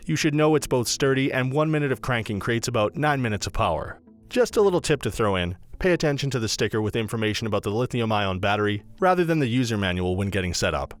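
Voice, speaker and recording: A man reading a scripted product review as a voice-over narrator, in a brisk, even read-aloud delivery. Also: mid-range voice.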